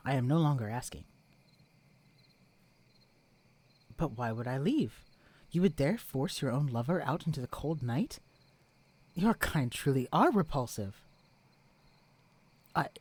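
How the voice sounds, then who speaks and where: A man's voice: medium pitch, a little mellow. A man voicing a stiff, formal alien posing as a husband, with stilted, pompous, overly proper diction in a scripted audio roleplay. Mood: indignant, insistent.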